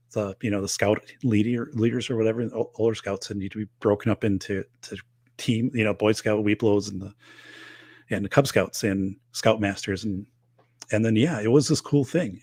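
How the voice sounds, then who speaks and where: A man's voice: lowish voice, a little mellow, breathy edge, dry recording, clean studio sound. A middle-aged man hosting a live show, chatting casually and rambling with many fillers and restarts, losing his voice. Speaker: livestream host reading viewer comments.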